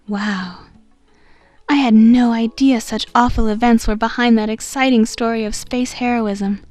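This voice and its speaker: A woman's voice: on the high side, steady tone, measured pace, a little mellow, no room sound, natural voice. A woman playing a naive, wide-eyed teenage girl assistant in a video game fandub. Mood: awed. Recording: amateur recording with music.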